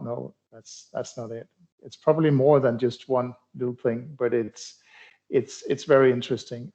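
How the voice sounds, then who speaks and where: A middle-aged man from Denmark, a cardiology researcher answering interview questions in a halting way, with many fillers and restarts. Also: mid-range voice, a little mellow. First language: Danish. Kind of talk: conversational expert interview. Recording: dull lo-fi sound.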